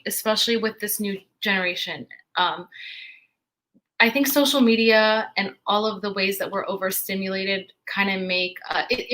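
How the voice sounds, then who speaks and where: Bright-voiced earnest woman panelist. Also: mid-range voice. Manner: earnest, encouraging, many fillers. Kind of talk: panel discussion.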